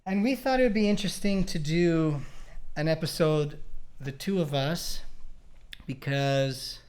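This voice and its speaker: A man in his thirties from Canada talks easily and at length. His voice sits a bit high for a man, and he drifts into joking asides as he hosts a casual chat about relationships. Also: a little slow. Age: 30s. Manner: relaxed, rambling, joking asides. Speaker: podcast host.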